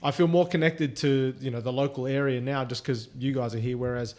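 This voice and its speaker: A man's voice: mid-range voice, fairly even intonation, fairly quick, darkish tone. A man with an Australian accent, a podcast co-host telling stories in a casual, rambling way with lots of fillers. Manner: rambling, filler-heavy storytelling. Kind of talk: casual chat.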